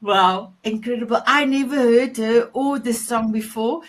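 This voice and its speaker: A woman with a South African Afrikaans accent hosting a talk show in a gushing, affectionate, halting way. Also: fairly high pitch. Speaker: show host.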